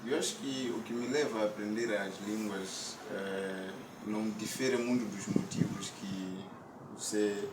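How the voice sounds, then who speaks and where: A man from Mozambique, a guest in casual conversation, speaking in a measured, thoughtful way. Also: lowish voice, steady tone, echoey room, distant mic, background noise. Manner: measured, thoughtful, greets in several languages.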